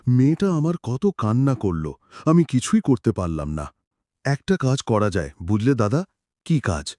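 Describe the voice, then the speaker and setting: An actor voicing secretive but sympathetic village fishermen in a cartoon. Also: male voice, medium pitch, sing-song, normal speed, mellow, no room sound, clean studio sound, natural voice. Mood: conspiring. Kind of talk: cartoon voice acting.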